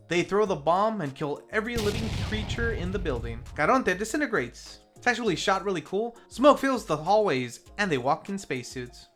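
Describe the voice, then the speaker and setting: Review show narrator of unclear gender, mid pitch, animated intonation, casual and playful scripted recap, faint music underneath. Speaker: film review host.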